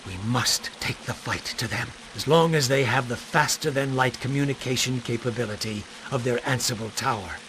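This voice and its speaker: A man's voice: mid-range voice, fairly bright tone, slight background noise, natural voice. A man playing a solemn, rousing war leader in a video game cutscene, with grave, resolute oratory. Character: solemn, rousing male war leader addressing his brethren.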